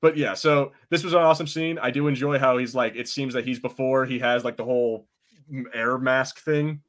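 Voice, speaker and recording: A man's voice: mid-range voice, steady tone, a little fast. Casual swearing male podcast co-host. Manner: casual, swears freely, hesitant restarts. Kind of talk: casual chat.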